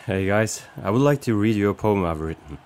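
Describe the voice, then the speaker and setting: A man's voice: lowish voice, animated, fairly quick, close to an everyday voice. Man playing a portentous band poet, swinging intonation. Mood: solemn, poetic. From Norway. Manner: pompous, portentous. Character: solemn, cryptic young male band poet. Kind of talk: amateur radio play acting.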